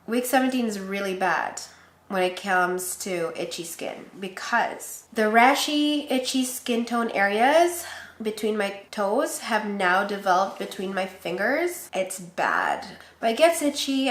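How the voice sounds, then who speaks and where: A woman with a Filipino accent chatting casually with her viewers, laughing often and teasing herself. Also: medium pitch. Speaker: pregnancy vlogger. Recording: roomy echo.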